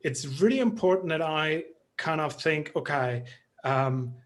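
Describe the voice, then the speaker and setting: A man's voice: fairly high pitch, unhurried, fairly bright tone. A man hosting a panel discussion, asking questions casually and thinking aloud with fillers.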